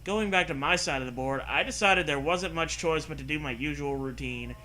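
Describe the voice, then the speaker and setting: A sarcastic man with a fairly high, very bright, forceful voice reads a horror story aloud. He switches between mock-serious narration and casual, foul-mouthed riffing. There is some background noise on the recording. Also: fairly quick. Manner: sarcastic, profane.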